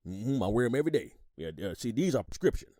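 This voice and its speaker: Folksy retired sergeant, older man, played by an actor, gossipy courtroom witness, animated pitch, dry close recording. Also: fast talker, no room sound. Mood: defensive, folksy. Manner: folksy, rambling, repeats words, hums agreement. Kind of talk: audio drama acting, courtroom testimony.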